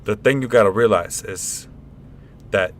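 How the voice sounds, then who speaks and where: A man playing a deadpan, casual, slightly self-important guy who explains haltingly in a comic radio play. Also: on the low side, slight background noise, close to an everyday voice. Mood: deadpan, lecturing.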